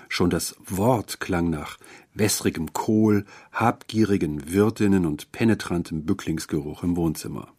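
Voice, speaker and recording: Deep slightly husky guy narrating a short story, careful diction, audiobook style. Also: a little mellow. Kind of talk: scripted literary audiobook narration.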